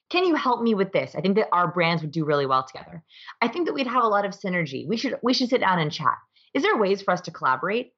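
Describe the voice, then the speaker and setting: Female interview guest, low voice, explains things emphatically in a conversational way. Slightly muffled, lo-fi sound. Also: a bit bright. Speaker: interview guest, author and behavior researcher.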